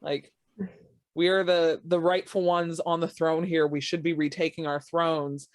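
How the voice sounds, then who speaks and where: A woman's voice: sits low, flat delivery, measured pace, no echo. A woman playing a tabletop roleplaying game, talking casually about her character in an emphatic, wry way. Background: music.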